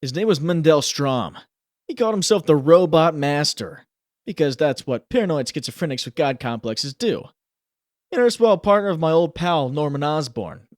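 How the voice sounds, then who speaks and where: A man's voice: fairly high pitch, natural voice. An actor playing a brooding, wisecracking young male superhero in a dramatic comic dub, voicing introspective monologue with wry quips. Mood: focused, explaining. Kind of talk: dramatic comic-dub narration and character acting. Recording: noisy, echoey, ambience behind.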